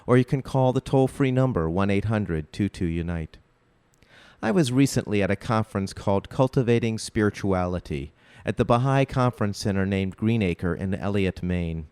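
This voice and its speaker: Smooth, low-voiced radio host. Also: male voice, a little mellow, no echo. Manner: measured, scripted announcing. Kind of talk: community radio hosting.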